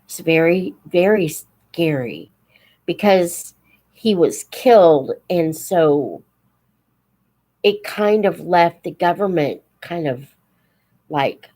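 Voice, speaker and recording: An American woman in her seventies reminiscing informally as host of a live stream, halting and reflective, pausing mid-sentence and voicing remembered dialogue. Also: lowish voice, animated, warm tone. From United States. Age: 70s.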